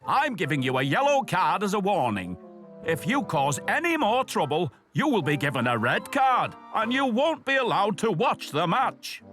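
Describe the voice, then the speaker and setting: A storyteller acting out a stern, proud male railway boss from a children's storybook. He speaks with commanding emphasis, high for a man and very animated, over music. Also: normal speed. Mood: cross.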